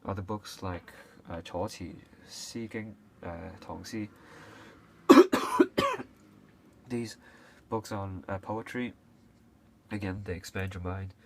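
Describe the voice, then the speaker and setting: Low-voiced young man, halting casual talk. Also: huge pitch swings, a little hiss or hum. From Hong Kong. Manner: hesitant, many fillers and restarts, occasional coughs. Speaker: video creator. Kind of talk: casual unscripted talk to camera.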